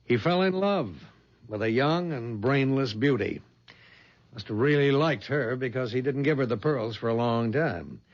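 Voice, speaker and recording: An actor playing a brisk, businesslike head of a detective agency in an American radio drama, with a husky voice and an American accent. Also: male voice, mid-range voice, animated, lightly acted. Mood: matter-of-fact recounting. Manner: businesslike, explanatory. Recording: dull lo-fi 1970s radio recording.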